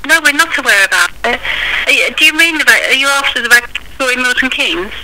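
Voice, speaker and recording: A woman's voice: high-pitched, expressive, quick pace, background noise. A woman working as a school assistant, answering a phone call politely and sounding puzzled. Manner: polite, puzzled, keeps offering to transfer the call. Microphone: office phone.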